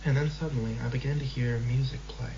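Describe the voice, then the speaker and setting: A man's voice: mid-range voice, fairly even intonation, gravelly, background noise. Man narrating a horror story. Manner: measured, slow in tense passages. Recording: slightly dull lo-fi recording with music.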